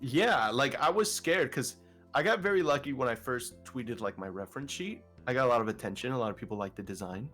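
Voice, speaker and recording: A man with a deeper voice, guesting on an interview and talking in a casual, rambling way with many restarts. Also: expressive, fairly quick, a bit bright, faint music behind. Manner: rambling, filler-heavy, frequent restarts. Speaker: vtuber guest.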